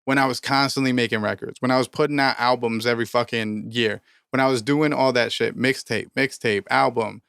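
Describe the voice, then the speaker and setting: A thirty-year-old man co-hosting a podcast, pushing his point hard in a steady mid-range voice. He talks with heavy swearing and motivational emphasis, and his voice sounds smooth and resonant on a dry close mic. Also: mid-range voice, fairly quick, hardly any pauses, no echo. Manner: emphatic, profane, preachy motivational rants. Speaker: podcast co-host, rapper. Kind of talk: casual podcast chat.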